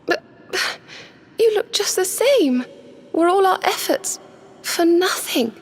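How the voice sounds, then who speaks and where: A woman playing a gentle, anxious, caring young twin sister in a video game, speaking softly and earnestly. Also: extremely high pitch, expressive, slow pace, a little hiss or hum, heavily acted. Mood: worried. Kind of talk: video game cutscene acting.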